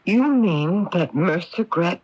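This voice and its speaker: An actress playing a scheming, cold-blooded wife in an early 1980s radio mystery, with deliberate, dramatic delivery. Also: female voice, on the low side, expressive, darkish tone, breathy edge, no echo, exaggerated voice. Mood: alarmed, suspicious. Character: scheming, cold-blooded wife who poisoned her husband. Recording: muffled lo-fi 1981 AM radio recording.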